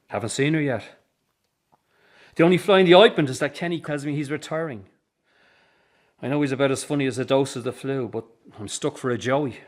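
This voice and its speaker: An actor in a radio drama playing a weary, earnest circus boss and troubled son, with an Irish accent. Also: male voice, mid-range voice, fairly quick, long pauses, natural voice. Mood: businesslike.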